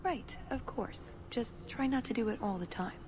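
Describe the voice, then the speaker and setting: An actress playing a composed, cautious female infiltrator in a video game, measured and dryly wry. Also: a little high, background noise, natural voice. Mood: wry, hushed.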